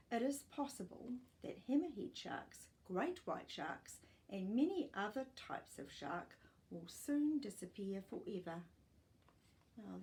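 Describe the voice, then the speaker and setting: A middle-aged woman reading aloud to young children as a storytime host, expressive and explanatory, with small asides. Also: mid-range voice, vocal fry. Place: living room at home.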